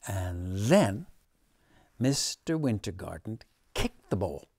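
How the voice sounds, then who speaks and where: A man in his sixties narrates a picture book expressively. His voice sits a little low and moves slowly and deliberately, with dramatic emphasis. The sound is dry. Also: very animated, darkish tone, slightly rough, no room sound. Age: 60s. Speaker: storybook reader. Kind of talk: expressive children's story narration. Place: living room at home, on a sofa.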